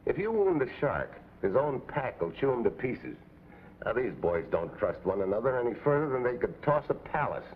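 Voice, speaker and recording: A man's voice: medium pitch, big pitch swings, normal speed, natural voice. A man playing a confident, scheming American secret agent in a terse, hard-boiled way, in the style of a 1950s adventure show. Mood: calm resolve. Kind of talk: 1950s TV adventure drama acting. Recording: tinny, noisy old TV soundtrack.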